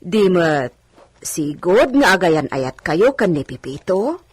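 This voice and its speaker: An older village servant woman in a radio drama. Her husky voice is earnest and exclaiming, anxious and persistent as she coaxes. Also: lowish voice, big pitch swings, takes it slowly, heavily acted. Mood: coaxing.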